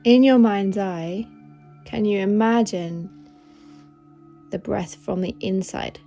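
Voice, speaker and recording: A woman leading a guided meditation in a gentle, soothing, unhurried manner of instruction. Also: medium pitch, vocal fry, faint music behind. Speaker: meditation guide, podcast host.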